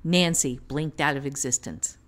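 A woman giving unscripted teaching talk on a podcast, thinking aloud in a halting manner. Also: low-pitched. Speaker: writing teacher, author.

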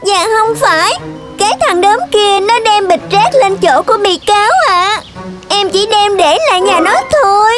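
Squeaky, feisty little cartoon mouse. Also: moderate pace. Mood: wheedling. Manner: quarrelsome, squeaky, childlike. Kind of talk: children's cartoon dubbing. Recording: music behind the voice.

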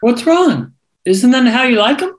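A woman's voice: on the high side, sing-song, normal speed. A woman playing a manipulative, sugary bully who needles and coaxes in a script read. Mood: mocking.

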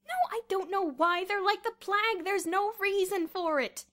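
A woman's voice: medium pitch, flat delivery, moderate pace, fairly bright tone, lightly acted. A woman voicing a stern, middle-aged male headmaster, indignant and emphatic, in a storybook narration. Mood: outraged.

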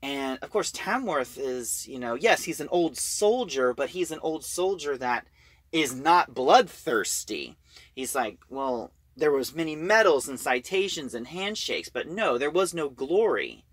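A reviewer talking casually through a plot, halting and repeating phrases. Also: medium pitch, sing-song, husky, no echo.

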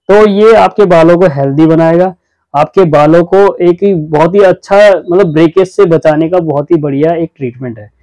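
A man's voice: a little high, mellow, no echo, muffled recording. A young man hosting a hair-care vlog, earnestly explaining a salon treatment to camera while gesturing, recorded on a lapel mic in a small studio. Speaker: hair stylist host. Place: small indoor studio, plain backdrop.

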